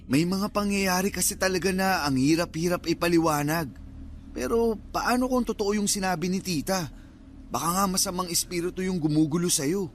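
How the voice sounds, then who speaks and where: Actor as a concerned young cousin, higher male voice. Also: moderate pace, a little hiss or hum, natural voice. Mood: concerned, curious. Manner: coaxing, reasoning, mildly reproachful. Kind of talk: Filipino radio drama acting.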